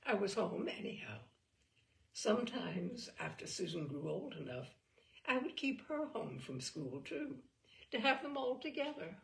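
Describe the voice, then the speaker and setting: A woman narrates literary fiction with a lightly gravelly creak in her voice. Her delivery is dramatic and measured, with very lively pitch movement and drops into quieter phrasing. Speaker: reader of short fiction. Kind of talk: expressive literary narration read aloud.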